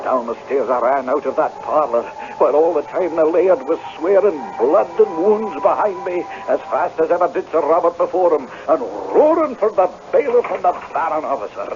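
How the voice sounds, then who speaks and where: An actor playing a desperate tenant piper, by turns humble and defiant, in an old-time radio drama. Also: male voice, fairly high pitch, expressive, moderate pace, hardly any pauses, music in the background, close to an everyday voice. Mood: urgent narrating. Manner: deferential stammering that turns to impassioned declaiming. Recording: dull, noisy vintage radio recording.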